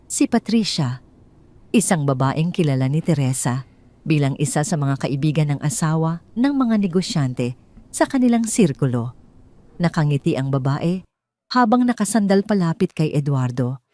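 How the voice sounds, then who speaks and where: Woman, Filipino accent, low pitch, dramatic audiobook-style narration, measured phrasing, storyteller. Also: a little mellow.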